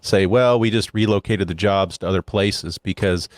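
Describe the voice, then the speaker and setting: Man with lowish, dry-recorded voice. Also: moderate pace, no echo. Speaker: podcast panelist. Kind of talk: conversational tech commentary.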